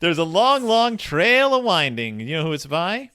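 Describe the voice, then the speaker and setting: A man co-hosting a casual book discussion podcast, talking in a halting, rambling way that often interrupts itself. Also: high-pitched, very animated, pushed and bright, smooth, dry recording, studio quality. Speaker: podcast co-host.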